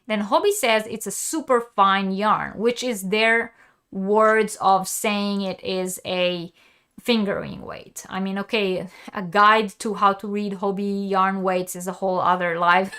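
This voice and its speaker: Woman, Hebrew speaker, slow steady delivery, teaching yarn basics, reads viewer chat. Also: mid-range voice, smooth. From Israel. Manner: explanatory, many ums and uhs. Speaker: crochet teacher hosting a live stream. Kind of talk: live-stream tutorial, reading chat.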